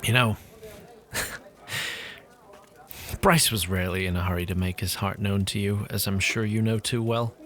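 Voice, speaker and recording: A man playing a cool-tempered, wistful city guard officer in a fantasy audio drama, speaking in a measured, hesitant way. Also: on the low side, fairly even intonation, fairly bright tone, lightly acted. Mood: wistful, uneasy.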